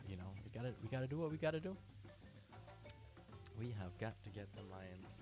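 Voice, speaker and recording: Male gamer, deep voice, casual live-stream chatter, music bed behind. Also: a little fast. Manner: relaxed, chatty, talks to viewers by name. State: tired from little sleep. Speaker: game streamer. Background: music playing.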